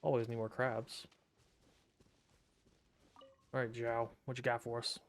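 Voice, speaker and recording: A man's voice: mid-range voice, little pitch movement, a little slow, a little hiss or hum. A man casually commenting and joking while streaming a game. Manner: laid-back, joking, slangy. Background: game music.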